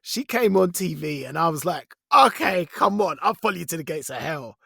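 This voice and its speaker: A man co-hosting a casual podcast, telling things in an animated, rambling, colloquial way. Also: a little high, moderate pace, vocal fry, slightly rough, no echo, studio quality. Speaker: podcast co-host, also audio drama performer.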